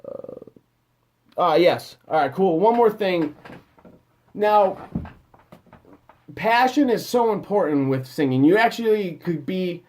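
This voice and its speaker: A man with a slightly higher voice talks through singing advice in a loose, off-the-cuff way. He wanders and restarts his sentences, leaning on fillers as he goes. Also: lively intonation, slow, pauses often, a little mellow. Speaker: music producer giving vocal advice. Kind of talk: casual advice talk.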